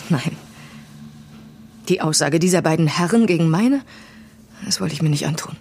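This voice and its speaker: A woman's voice: low voice, moderate pace, vocal fry, a little mellow, slight background noise. Intense, wronged woman. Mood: bitter, hurt. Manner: intense, emphatic. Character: wronged woman, defiant, frightened and bitter. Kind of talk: naturalistic audio drama acting.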